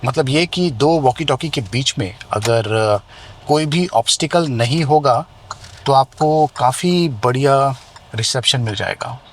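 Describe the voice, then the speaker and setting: A man's voice: medium pitch, slow. Indian man reviewing gadgets, noisy outdoor ambience. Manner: explanatory, conversational. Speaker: tech reviewer and vlogger. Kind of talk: hands-on product review, mixing Hindi and English. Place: outdoors near residential buildings.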